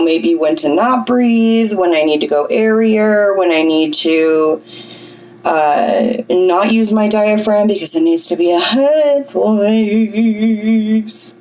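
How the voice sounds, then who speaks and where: A woman with a low voice giving a casual, chatty breathing lesson, often checking in with "okay?". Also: darkish tone, recorded from a distance, low-quality recording. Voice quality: low singing voice. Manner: chatty, self-deprecating, coaching with frequent "okay?" checks. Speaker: vlogger teaching breathing. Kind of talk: casual instructional vlog.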